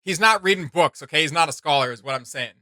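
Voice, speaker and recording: Bright-voiced man rambling through a casual reaction. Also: a little high, expressive, quick pace, no echo, clean studio sound. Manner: rambling, thinking aloud. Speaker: reaction video host.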